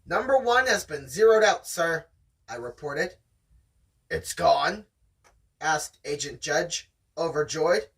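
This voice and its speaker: Amateur story reader with animated pitch and a forceful tone, casual chat between passages, sounds slightly off-mic. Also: slow, pauses often, husky, far from the mic, low-quality recording. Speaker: host reading a novel aloud.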